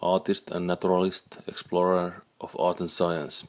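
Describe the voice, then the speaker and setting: Low flat-voiced man. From Finland. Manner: halting, searching for words, non-native phrasing. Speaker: artist and naturalist. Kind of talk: reflective interview monologue.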